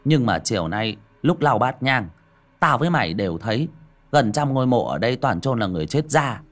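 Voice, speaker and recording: Male narrator playing a loud mocking young villager, grumpy and brash, lively pitch, husky. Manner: loud, scoffing, fast.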